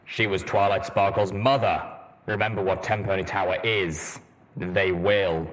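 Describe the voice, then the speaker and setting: Guy putting on a gruff soldier horse voice, real deep, slow n emphatic, stern, stubborn, audiobook. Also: steady tone, a bit bright, low-quality recording. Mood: insistent. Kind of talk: audiobook character acting.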